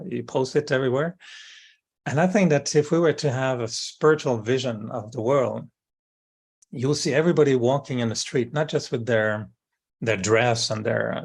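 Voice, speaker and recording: A middle-aged man from France with a French accent, a guest talking thoughtfully and often pausing to search for words. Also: mid-range voice, creaky. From France (Brittany). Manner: thoughtful, searching for words, many fillers and restarts. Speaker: interview guest. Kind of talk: reflective conversation.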